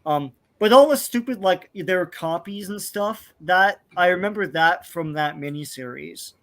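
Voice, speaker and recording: A man's voice: high-pitched, animated, moderate pace, a bit bright. A man in casual podcast chat about comics, rambling with lots of hesitations. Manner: rambling, lots of ums and likes.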